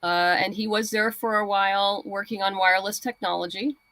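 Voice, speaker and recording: A woman's voice: medium pitch, fairly even intonation, brisk, bright, forceful tone, no echo. Woman guest explaining in casual chat. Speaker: guest writer and comics creator.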